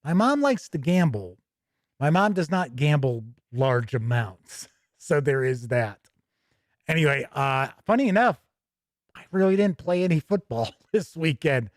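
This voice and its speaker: A man hosting a tabletop gaming livestream, chatting casually with viewers in a folksy, jokey, animated way. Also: a little high, measured pace, no room sound, studio quality.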